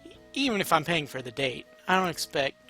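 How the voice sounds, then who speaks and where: A man's voice: on the high side, lively intonation, brisk, vocal fry, sharp tone, faint music behind, exaggerated voice. A man voicing an easygoing male suitor on a date in a story reading, casual and teasing. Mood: reassuring.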